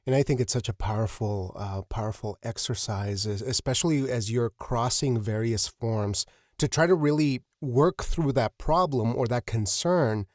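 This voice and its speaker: A man hosting an interview in casual, reflective talk, effusive and earnest with halting phrasing. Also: mid-range voice, darkish tone, dry recording, clean studio sound. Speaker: podcast host, interviewer.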